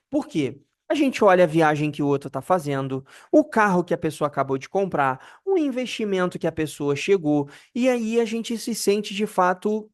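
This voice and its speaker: A man in his early thirties, from Brazil, hosts a casual finance video. His voice sits somewhat high, and his pitch climbs and drops sharply for emphasis. He talks candidly and self-deprecatingly and often stumbles over his phrasing. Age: 30s. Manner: candid, self-deprecating, repeats himself while searching for words; pitch rises and falls widely for emphasis. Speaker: personal finance video host. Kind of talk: casual monologue to camera.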